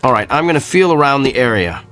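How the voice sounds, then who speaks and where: An actor playing an earnest male doctor with a strange gift, in a measured, inquisitive radio drama style. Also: medium pitch, sing-song, fairly bright tone, soft background music. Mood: professional, attentive.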